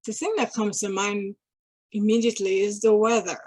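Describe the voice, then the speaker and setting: A middle-aged woman from Guyana speaking in a halting, thoughtful way, pausing and restarting as she reflects. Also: medium pitch, flat delivery, a little mellow, no room sound. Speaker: interviewee. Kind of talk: casual reflective talk.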